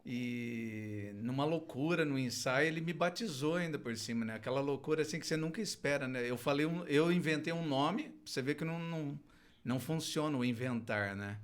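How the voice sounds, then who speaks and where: A man's voice: a little high, brisk, clean tone. A middle-aged man from Londrina in Brazil, a clown and theater teacher, telling his life story as a podcast guest with frequent hesitations and restarts. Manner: hesitant, many restarts and fillers, laughs easily. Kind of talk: casual storytelling interview.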